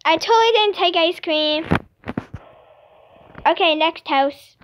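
A woman's voice: extremely high pitch, takes it slowly, highs cut off. A woman hosting a casual gaming video, chatting as she plays. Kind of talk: casual gameplay chat. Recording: noisy home recording with clicks.

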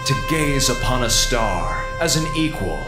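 Slangy, wisecracking space crewman, animated and theatrical, echoey sound. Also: medium pitch, a little slow, over loud music. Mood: grandiose. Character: wisecracking, theatrical male space station communications officer. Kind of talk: audio drama acting.

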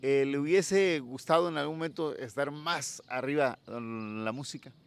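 A Mexican man hosting a casual street interview in a town plaza, friendly and sending shout-outs to viewers, holding a handheld mic. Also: medium pitch, moderate pace, creaky, smooth. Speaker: interviewer, channel host. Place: town plaza outdoors at dawn.

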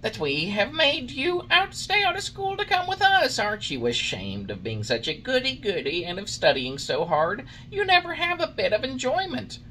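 A man's voice: very high-pitched, wildly swooping pitch, normal speed, few pauses, sharp tone, a little hiss or hum, voice pushed up, exaggerated voice, low-quality recording. A man voicing jeering, bullying schoolboys in a storybook reading, with a taunting, exaggerated manner. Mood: scornful.

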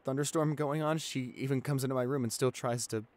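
A man playing a shy, anxious young boyfriend in an ASMR roleplay, speaking softly with hesitant stammers. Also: mid-range voice, fairly even intonation, a little fast, close to an everyday voice. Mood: calming down. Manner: hesitant, stammering, soft-spoken. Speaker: audio roleplay performer.

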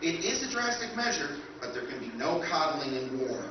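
A courteous, relentless machine speaks in formal, measured sentences, as a man acts it out on stage. The voice sits a bit high, and it comes across dull and distant, with a hall's heavy echo around it. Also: noisy background. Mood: calm, formal. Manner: formal, measured, calmly menacing. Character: polite, relentless robot ship caretaker. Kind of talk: live old-time radio recreation on stage. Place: hotel function room stage with audience. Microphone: stand mic, far camera. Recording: echoey hall from far off, dull.

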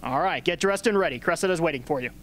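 A man playing a blunt, gruff rebel mentor in an amateur radio play, with a businesslike delivery. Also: on the high side, animated, fast, music in the background, natural voice. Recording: faint hum and background noise.

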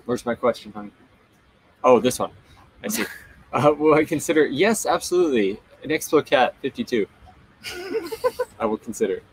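A man's voice: medium pitch, huge pitch swings, moderate pace, pauses often, breathy edge, rough recording. A man in his thirties co-hosting a casual live stream from a boat cockpit in a marina. He jokes and teases a lot. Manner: joking, teasing, laughs a lot. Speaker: sailing channel co-host.

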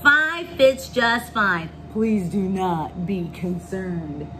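A woman voicing cheeky, excitable little monkeys from a children's picture book, exclaiming their lines. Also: mid-range voice, slow, a little hiss or hum. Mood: smug. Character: gleeful, cheeky little monkeys speaking as one.

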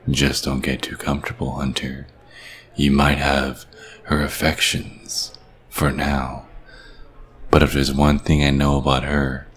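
Very deep, slow vampire king. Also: fairly bright tone, exaggerated voice. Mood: menacing, scornful. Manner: slow, taunting, purring menace. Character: ancient vampire king, menacing, seductive, mocking former lover. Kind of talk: ASMR roleplay acting.

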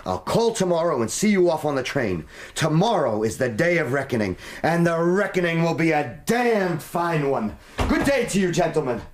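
An actor with an American accent playing a brash, boisterous American schemer in a radio drama, with a booming, persuasive delivery. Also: male voice, big pitch swings, normal speed, hardly any pauses. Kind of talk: 1990s radio drama acting.

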